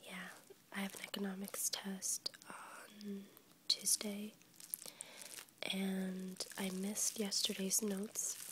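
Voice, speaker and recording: A young woman with a cold, whispering softly as she rambles for an ASMR video, stuffy and a little congested. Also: medium pitch, monotone, slow, slight background noise. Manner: soft unvoiced whisper, rambling with fillers and small laughs. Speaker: ASMR YouTuber. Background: crinkling packaging.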